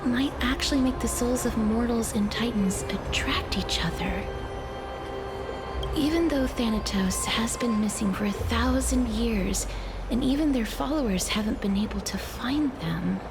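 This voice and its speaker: A thoughtful woman on a long journey in a video game. She speaks in a soft, measured voice with formal, reflective phrasing and a touch of breath. Music plays clearly behind her. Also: fairly high pitch. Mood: calm, reflective. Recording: loud music under the voice.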